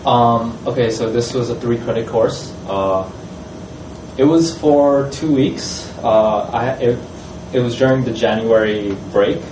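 A man, a student panelist, recounting a trip with many pauses and fillers. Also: mid-range voice. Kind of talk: casual panel talk. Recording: echoey room, distant mic, noisy.

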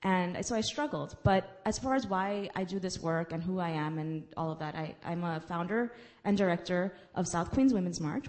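A woman's voice: lowish voice, darkish tone. A woman from the United States, a lawyer and community organizer, speaking earnestly and personally on a panel to a college audience. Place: college event room.

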